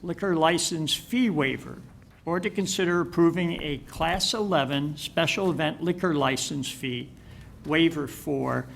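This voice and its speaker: A gravelly, somewhat higher-pitched man chairs a village board meeting. He moves through motions and agenda items briskly. A faint low rumble sits under the recording. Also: fairly bright tone. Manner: brisk procedural delivery.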